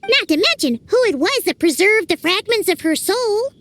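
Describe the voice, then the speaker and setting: A woman's voice: very high-pitched, very animated, normal speed, fairly bright tone, slight background noise, exaggerated voice. A woman voicing a tiny, chatty female companion in a video game, eager and talking about herself in the third person. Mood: pleading.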